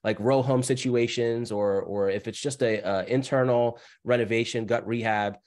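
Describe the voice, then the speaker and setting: A man with a cold, sounding scratchy, presenting as the guest speaker on a webinar over a video call in a brisk, upbeat way. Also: medium pitch, steady tone, darkish tone.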